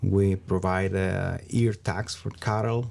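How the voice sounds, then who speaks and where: A man from Venezuela with a Venezuelan Spanish accent, answering interview questions in a halting way as he searches for words. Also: low-pitched, steady tone, gravelly, darkish tone. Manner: halting, searching for words, frequent "you know". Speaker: interview guest, small business founder.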